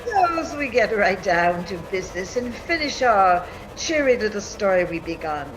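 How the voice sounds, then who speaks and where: A woman's voice: medium pitch, very animated, normal speed, noisy background, natural voice. A woman playing a gleeful old witch storyteller who cackles and relishes frightful tales in a 1930s radio horror style. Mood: gleefully sinister.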